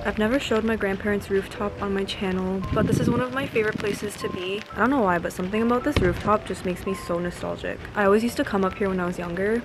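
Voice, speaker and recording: A woman's voice: medium pitch, background noise. A woman in her twenties with a Canadian English accent, chatting casually and upbeat to a handheld camera while vlogging. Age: 20s. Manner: chatty, upbeat, filler-heavy. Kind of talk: casual vlog talk to camera. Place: indoors and outdoors, hotel room and rooftop. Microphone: handheld camera close to face. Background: background music.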